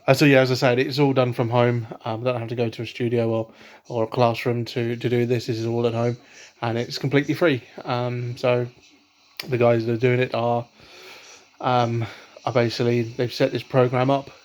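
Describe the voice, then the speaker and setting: A man's voice: medium pitch, little pitch movement, normal speed, a little mellow. A young man from England talking at home in a casual vlog, hesitant, with many ums and restarts. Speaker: vlogger. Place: at home, indoors. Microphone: laptop webcam.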